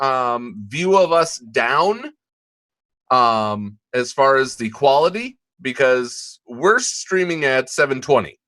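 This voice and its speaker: A man co-hosting a podcast in casual live-stream chat, halting, with many ums and restarts. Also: mid-range voice, pushed and bright. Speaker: podcast co-host.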